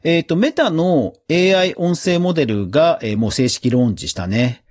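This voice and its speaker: A man's voice: fairly high pitch, normal speed, darkish tone. A man hosting a podcast, giving chatty, casual commentary on the news in a conversational way. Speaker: podcast host.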